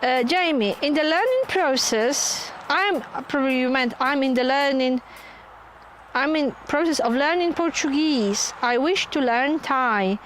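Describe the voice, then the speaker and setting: A woman's voice: sits high, unhurried, slight background noise, poor audio. A woman from Poland with a Polish accent, chatting casually on a live stream from her touring caravan and answering viewer comments. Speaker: channel host.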